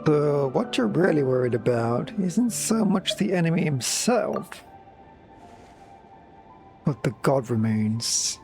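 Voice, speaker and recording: A man's voice: fairly high pitch, sing-song, takes it slowly, pauses often, a little mellow, soft background music, exaggerated voice. An actor playing a level-headed young male adventurer in a video game, direct and to the point. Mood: thoughtful.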